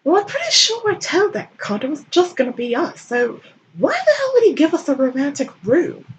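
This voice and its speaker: A woman's voice: high-pitched, very animated, brisk, slight background noise, voice pushed up, low-quality recording. A woman voicing a hot-tempered, foul-mouthed, flustered man in a comic dramatic fan fiction reading, exclaiming in exasperation. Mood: indignant.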